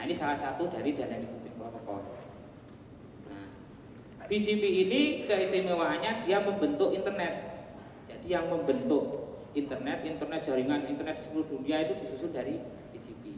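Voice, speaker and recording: A man's voice: a little high, moderate pace, far from the mic, slight background noise. Javanese-accented man in an echoey hall. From Java. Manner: relaxed, joking asides, frequent fillers. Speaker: conference presenter. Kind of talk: casual technical presentation. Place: large hall.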